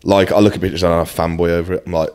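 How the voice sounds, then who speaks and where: Deep-voiced British man, blunt and sweary. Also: level tone, racing, darkish tone. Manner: blunt, sweary, self-deprecating. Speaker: podcast guest, fitness coach. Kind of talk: casual chat.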